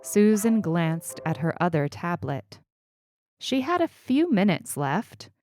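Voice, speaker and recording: Expressive woman reading fiction over music. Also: on the low side, takes it slowly, no room sound. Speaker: story reader on a fiction podcast. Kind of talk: scripted audiobook narration.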